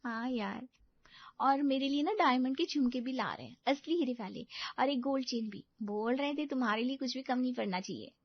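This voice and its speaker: A woman's voice: sits high, fairly quick, no room sound, muffled recording, voice pushed up. A woman voicing a pampered, boastful young rich wife in a storybook tale, gushing in a showy way. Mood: happy, boastful.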